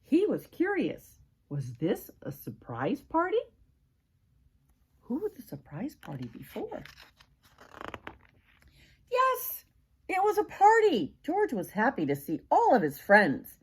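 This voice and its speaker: A woman's voice: high voice, huge pitch swings, takes it slowly, pauses often, slightly rough. An older woman reading a children's storybook aloud expressively, with dramatic emphasis and asides to young listeners. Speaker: grandmother reading a picture book aloud.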